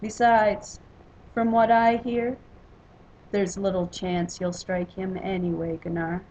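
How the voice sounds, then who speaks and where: A woman's voice: medium pitch, flat delivery, takes it slowly, darkish tone, slight background noise, lightly acted, rough recording. A woman voicing a smug, insinuating male trickster god in a mythic story. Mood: goading.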